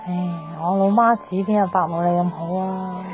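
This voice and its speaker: A woman's voice: fairly low pitch, steady tone, normal speed, music in the background, close to an everyday voice. An actress playing a frank, upbeat, stubborn young woman in a Cantonese radio drama, with a Hong Kong accent and a direct, casual manner. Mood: cheerful, attentive. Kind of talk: naturalistic radio drama acting.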